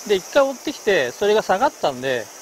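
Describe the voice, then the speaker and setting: A middle-aged man talking casually while fishing a river outdoors, pausing often with fillers. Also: high-pitched, a little hiss or hum, low-quality recording. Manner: relaxed, many hesitation fillers. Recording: loud background music outdoors.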